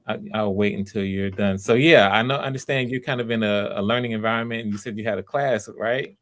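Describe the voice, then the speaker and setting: A man's voice: fairly low pitch, steady tone, a little fast, a bit bright. A man hosting online auditions in a casual, encouraging way, often repeating himself. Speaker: audition host. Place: live video stream.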